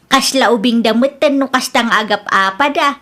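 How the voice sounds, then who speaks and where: A woman's voice: fairly high pitch, normal speed, a bit bright, natural voice. A woman with an Ilocano accent playing a mild, motherly older village woman in a radio drama. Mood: mild, concerned.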